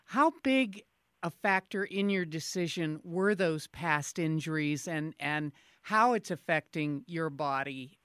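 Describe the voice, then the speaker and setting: Female public radio interviewer, unhurried and measured, smooth, resonant voice, dry studio sound. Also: deep voice, lively intonation, a bit bright, no room sound. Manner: measured, deliberate questioning.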